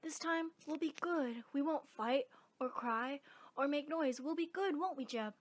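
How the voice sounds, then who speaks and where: A woman acting an earnest, high-voiced young girl. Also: a little slow. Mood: pleading, defiant. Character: earnest, plucky young girl, the older-seeming sibling. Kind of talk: radio drama acting.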